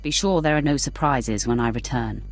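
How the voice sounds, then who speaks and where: A woman's voice: extremely low pitch, normal speed, faint music behind. A woman voicing a deadly, confident Sith warrior in a video game, curt and sardonic, with a threatening manner. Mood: cold, menacing.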